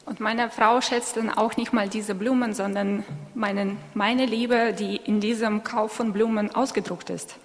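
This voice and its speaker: A woman interpreting a sermon live, phrase by phrase, somewhat halting as she corrects herself. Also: mid-range voice, fairly even intonation. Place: church hall. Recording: echoey hall with long reverb.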